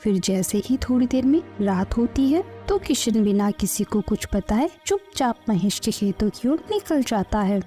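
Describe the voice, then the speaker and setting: A woman's voice: a little high, animated, normal speed, few pauses, dark tone. A woman storyteller reading scripted narration for a children's story. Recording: music under the voice.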